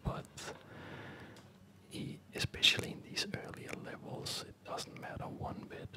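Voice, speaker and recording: A man doing relaxed, chatty ASMR game commentary in a soft, breathy whisper. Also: measured pace. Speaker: host of an ASMR gaming channel. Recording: background game music. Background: loud game music.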